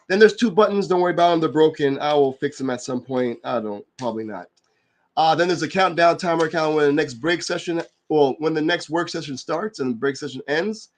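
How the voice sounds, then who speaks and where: A man who is getting over a cold and sounds a little nasal, hosting a live online work session and explaining its features in a relaxed, rambling way full of fillers. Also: a little high. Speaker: livestream host and developer advocate. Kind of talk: casual explanatory livestream talk.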